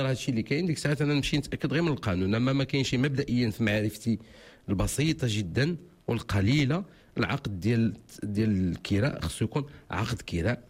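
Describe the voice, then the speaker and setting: Fast-talking Moroccan man, husky voice. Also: mid-range voice. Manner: fast, insistent, repeats himself. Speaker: legal expert answering callers. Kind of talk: radio call-in advice.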